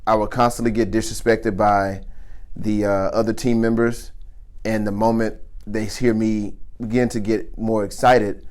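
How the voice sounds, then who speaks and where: A man who works as a chef, with a naturally deep voice, telling his story earnestly and deliberately in an interview. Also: flat delivery, darkish tone. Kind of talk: interview testimony.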